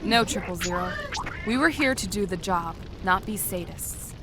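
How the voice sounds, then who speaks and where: A woman playing a brisk, sardonic rogue agent in a comic dub, casual and businesslike. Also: fairly low pitch, expressive, music in the background, close to an everyday voice. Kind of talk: dramatic comic dub acting.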